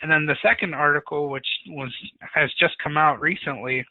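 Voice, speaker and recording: Man, fairly high voice, informal even-toned webinar presenter, tinny dry line. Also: normal speed, no room sound. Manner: informal, self-described monotonous. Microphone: webinar call audio. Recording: tinny phone-like webinar audio.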